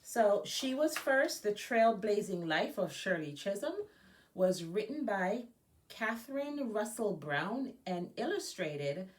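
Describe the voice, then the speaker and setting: A woman's voice: mid-range voice, big pitch swings, slow, low-quality recording. A woman from Jamaica, an education nonprofit director, reading aloud for a children's story time with informal asides.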